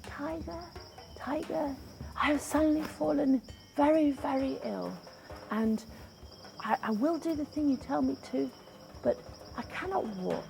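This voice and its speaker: A woman voicing a sly tiny male spider trickster who fakes weakness, in a thin high whispery voice. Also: gravelly, warm tone.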